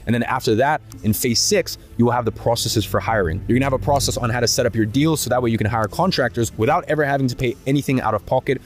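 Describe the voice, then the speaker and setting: Fast-talking man pitching a course. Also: faint music behind. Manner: fast, emphatic, listing benefits. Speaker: course creator and agency owner. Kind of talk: scripted sales pitch narration.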